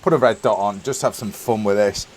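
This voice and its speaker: A British man in his thirties, a little croaky from a cold, casually reviewing a rifle with many fillers and restarts. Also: fairly low pitch, fairly quick, slight background noise. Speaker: firearms reviewer.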